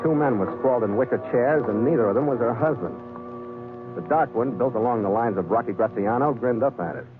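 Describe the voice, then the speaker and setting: A man's voice: mid-range voice, brisk, natural voice. A man playing a tough, wary sea captain who narrates and acts in a 1940s radio adventure in a terse, wry manner. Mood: dry, wary. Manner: terse, hard-boiled, wry. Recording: narrow old 1940s radio recording, music.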